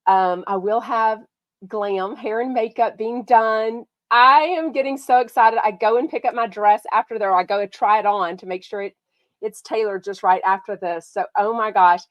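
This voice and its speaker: A woman from Texas, hosting a casual gossip livestream. She has a slight cold that makes her sound nasal, and she talks in a bubbly, excitable way. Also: medium pitch, normal speed, no echo, highs cut off. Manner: bubbly and excitable, lots of "like" and "oh my gosh". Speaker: podcast host.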